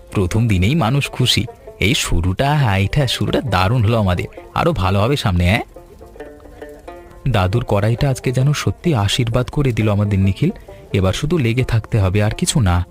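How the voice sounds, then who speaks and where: A man voicing an eager, low-voiced young village vendor. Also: natural voice. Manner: earnest, polite, chatty. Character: eager young fish-fry seller, friendly village man. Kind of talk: animated story dialogue.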